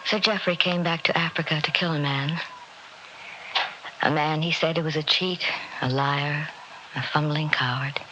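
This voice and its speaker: Actress doing anguished widow begging in an old radio play, breathy and urgent, lots of hiss and noise. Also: female voice, deep voice, fairly even intonation, normal speed, dull audio, lightly acted. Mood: grave. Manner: emotional, pleading, breathy whispered urgency. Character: anguished pleading widow in colonial Africa. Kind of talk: 1960s radio drama acting. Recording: noisy old radio recording.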